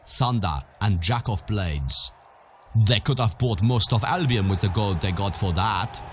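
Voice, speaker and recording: Man as a very deep, gruff arena boss. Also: unhurried, background noise, natural voice. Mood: gruff advice. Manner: commanding, blunt. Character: gruff, brisk male arena boss, hardened and bossy. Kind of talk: video game character acting.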